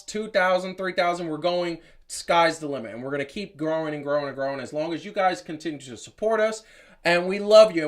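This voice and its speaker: A man's voice: on the high side, a bit bright. A man hosting a live sports chat show in a hyped, rambling way. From born South Carolina, raised New York. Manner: hyped, rambling, self-interrupting. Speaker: host.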